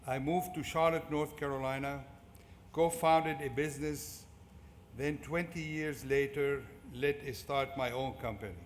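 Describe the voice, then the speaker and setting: In a large, reverberant fieldhouse with noise behind him, a middle-aged man delivers a motivational commencement address. He has a Syrian Arabic accent and a medium-pitched voice. He speaks deliberately, breaking his prepared text into short phrases. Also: steady tone. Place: large indoor fieldhouse at a ceremony. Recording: echoey fieldhouse PA with clear background noise.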